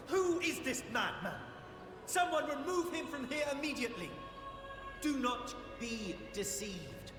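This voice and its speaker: An actor playing a pompous, indignant official of a religious order in a video game. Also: male voice, very high-pitched, heavily acted. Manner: pompous, authoritative. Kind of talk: dramatic video game voice acting. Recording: echoey hall reverb, music behind.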